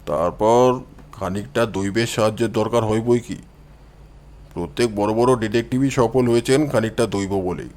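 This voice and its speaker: Man voicing a deep, self-assured detective. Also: darkish tone, natural voice. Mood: calm, assured. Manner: measured, explanatory, self-assured. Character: calm, confident young male detective explaining his deductions.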